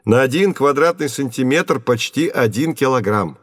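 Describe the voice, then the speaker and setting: An actor playing a patient professor who explains science to children didactically in an educational audio drama. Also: male voice, medium pitch, expressive, moderate pace, dry recording, studio quality, lightly acted. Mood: explanatory. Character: patient male professor teaching children with hands-on experiments.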